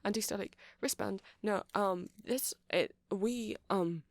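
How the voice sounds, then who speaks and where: A woman's voice: medium pitch, slow pace, no room sound. A woman playing an awkward, flustered male vampire who runs a music shop, stammering and rambling in an intimate ASMR roleplay. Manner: hesitant, stammering, rambling, apologetic.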